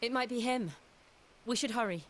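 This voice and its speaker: An actress playing a steady, resolute female warrior companion in a video game cutscene. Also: fairly high pitch, normal speed, noisy background, close to an everyday voice.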